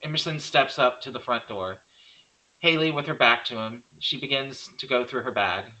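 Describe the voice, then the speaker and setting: A man's voice: medium pitch, moderate pace, bright, forceful tone, rough recording. A man narrating a horror screenplay, reading out scene headings and stage directions.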